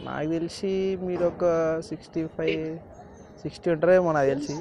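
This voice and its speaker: A man's voice: a little high, fairly even intonation, fairly quick, a little mellow, slight background noise. A man making a teasing prank phone call. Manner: teasing, cheeky. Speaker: prank caller.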